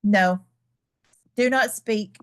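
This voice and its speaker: A woman voicing an anxious, dramatic male elephant in a children's picture book, in a halting, exclaiming way. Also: medium pitch. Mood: mournful. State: sneezing, acted.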